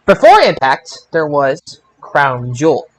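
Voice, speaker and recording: A man hosting a casual wrestling review podcast, speaking haltingly with stammered restarts. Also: fairly high pitch, wildly swooping pitch. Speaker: podcast host.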